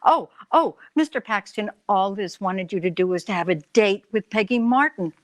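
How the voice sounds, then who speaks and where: A woman's voice: medium pitch, expressive, normal speed, natural voice. A woman playing a breezy, flirtatious married sidekick in a 1940s-style radio sitcom, with a playful manner. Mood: smitten, playful.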